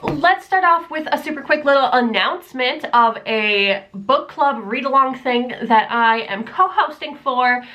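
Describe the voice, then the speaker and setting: Young woman, slightly high voice, stuffy nasal tone, rambling casual vlog delivery, home room on a camera mic. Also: animated, unhurried, hardly any pauses, a bit bright. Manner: rambling, many fillers, self-deprecating. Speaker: book vlogger. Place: room at home, not yet set up for filming. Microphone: camera mic, camera propped on books.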